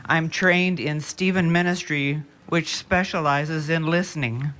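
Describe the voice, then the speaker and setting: A woman's voice: low-pitched, steady tone, unhurried, a bit bright. A middle-aged woman from northern Iowa, a campus minister, giving formal public testimony with the odd folksy aside.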